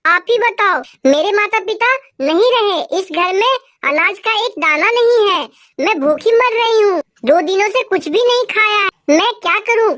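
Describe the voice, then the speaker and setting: A woman's voice: a bit bright, full character voice. A tiny orphan bird girl. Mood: pleading. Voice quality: high childlike voice. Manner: plaintive, tearful pleading. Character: young female bird, poor orphan girl, pleading. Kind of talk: Hindi cartoon dubbing.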